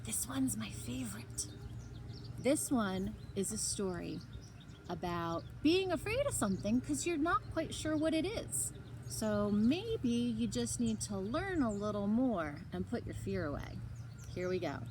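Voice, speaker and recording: High-pitched, animated woman reading a storybook. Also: noisy background. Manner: animated, dramatic emphasis with drawn-out words. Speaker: librarian reading aloud to children. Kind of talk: expressive storybook read-aloud.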